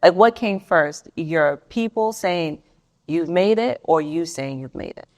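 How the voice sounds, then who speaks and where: A woman's voice: lowish voice, animated, measured pace, darkish tone. A woman hosting a casual interview, asking open questions and encouraging her guest with short affirmations. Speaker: interview host.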